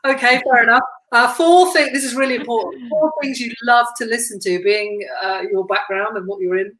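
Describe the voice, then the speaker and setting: Chatty woman host in an echoey room. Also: brisk. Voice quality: self-described deep voice. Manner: chatty and warm, laughs often. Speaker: podcast host. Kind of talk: casual interview chat. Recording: roomy echo.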